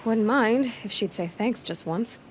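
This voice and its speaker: A woman's voice: on the high side, slow, a little hiss or hum, poor audio. An actress playing a young, headstrong but caring female hunter in a video game, direct and questioning with a slightly wry manner. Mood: wry, defiant. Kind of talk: naturalistic video game acting. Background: music.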